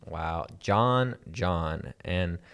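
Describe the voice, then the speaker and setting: A man with a very low voice hosts a comedy podcast and plays the straight man in an improvised bit. His talk is halting and full of fillers, with a dry, unhurried delivery. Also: creaky, fairly bright tone, smooth. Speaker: podcast host.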